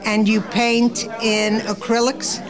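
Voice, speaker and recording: A woman's voice: a little high, little pitch movement. A woman hosting a gallery event, chatting with artists on a handheld mic. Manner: chatty, warm compliments, quick interjections. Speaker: host. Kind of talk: casual on-camera interviews. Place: indoor art gallery at an evening event. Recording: crowd chatter and music behind.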